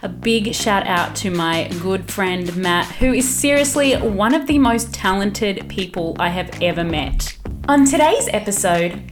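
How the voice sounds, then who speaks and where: A woman hosting a podcast, bubbly and effusive, with a loud, projecting voice. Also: mid-range voice. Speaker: podcast host. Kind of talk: podcast intro and interview. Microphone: studio microphone.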